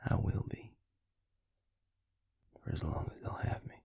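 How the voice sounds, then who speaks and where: A man playing a reserved, loyal vampire in an audio drama, speaking tersely in a soft, gravelly voice. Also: measured pace, no echo, in a whisper, close to an everyday voice. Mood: quietly devoted. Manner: terse, restrained, soft-spoken. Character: reserved, loyal male vampire, quiet and guarded. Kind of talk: audio roleplay drama acting.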